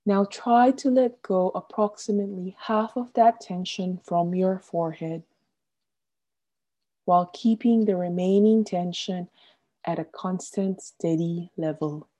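Female meditation guide, extremely soft dark tone, unhurried delivery, soothing and gentle, phrases trailing off softly. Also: on the low side. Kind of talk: guided relaxation meditation, scripted instruction.

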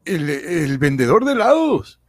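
A man's voice: a little high, sing-song, unhurried, dry recording. A man playing an eager, pushy young neighbour in a radio serial, stammering and repeating himself breathlessly. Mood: breathless excitement. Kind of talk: radionovela acting.

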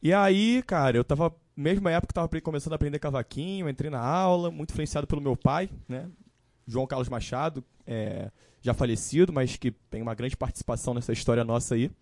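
Young carioca man, mellow voice. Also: mid-range voice, fast, dry recording. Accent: Carioca Portuguese. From Rio de Janeiro, Brazil. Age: 20s. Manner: relaxed storytelling with frequent fillers. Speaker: guest musician. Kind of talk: casual live-stream chat.

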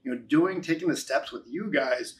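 A man's voice: a little high, normal speed. A man in his forties talking conversationally as an interview guest, giving a testimonial with emphatic, repeated key phrases. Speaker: interview guest, financial advisor.

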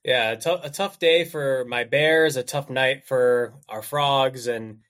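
A man's voice: mid-range voice, slow, a bit bright. Congested man hosting a sports podcast. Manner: upbeat host patter, reads ad spots. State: congested from allergies. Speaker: podcast host. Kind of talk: casual sports podcast chat.

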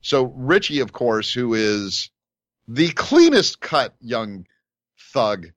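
A man co-hosts a casual podcast, rambling through his points with many restarts and breaking into playful impressions. His voice sits at a middle pitch. The recording is dry and close, with no room sound. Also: sing-song, slow pace, dry recording, muffled recording. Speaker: podcast co-host. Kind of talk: casual chat.

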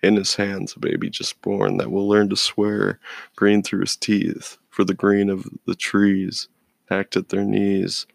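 Very gravelly, low-voiced man reciting poetry. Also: monotone, unhurried, no echo. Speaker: poet. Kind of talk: spoken-word poetry recital.

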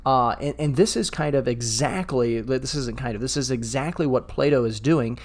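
Earnest man, halting restarts. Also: medium pitch. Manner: thinking aloud with many restarts and stammers, earnest. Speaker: pastor and podcast co-host. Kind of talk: casual discussion podcast.

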